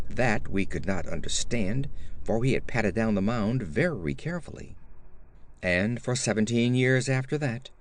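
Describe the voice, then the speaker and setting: A man giving a theatrical, grave first-person reading of gothic horror fiction as an audiobook narrator, with deliberate emphasis. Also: mid-range voice, slight background noise.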